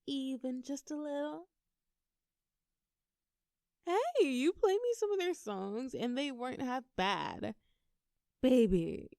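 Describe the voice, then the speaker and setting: Teasing, creaky-voiced girlfriend, acted by a woman. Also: a little high, dark tone, no room sound, clean studio sound, heavily acted. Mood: coaxing, playful.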